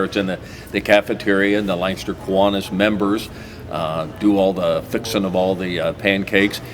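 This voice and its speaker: A man hosting a local TV interview, talking in a rambling way with many fillers and restarts. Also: sits low. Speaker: talk show host. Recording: noisy recording.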